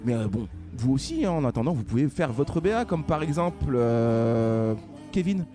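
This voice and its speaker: A man's voice: mid-range voice, animated, moderate pace, over music. A man hosting a casual film podcast, joking and laughing often between remarks. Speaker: podcast host. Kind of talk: casual chat.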